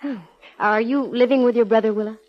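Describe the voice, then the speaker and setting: A woman's voice: fairly high pitch, a little fast, close to an everyday voice. An American actress playing a breezy, wisecracking female private investigator in a 1950s radio drama, with a wry delivery. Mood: curious, wry. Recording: dull vintage radio recording.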